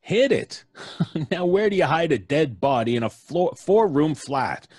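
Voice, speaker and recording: An actor playing a skeptical, blunt, streetwise police officer in an old-time radio suspense drama, talking colloquially. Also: male voice, on the high side, big pitch swings, unhurried, a little mellow, no echo, clean studio sound. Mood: scornful, wry. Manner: colloquial, wry, placating.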